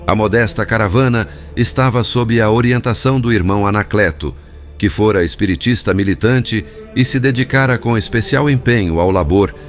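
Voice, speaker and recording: A Brazilian man reading a spiritist book as scripted narration, in a formal, measured manner. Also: fairly low pitch. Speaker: audiobook narrator. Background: background music.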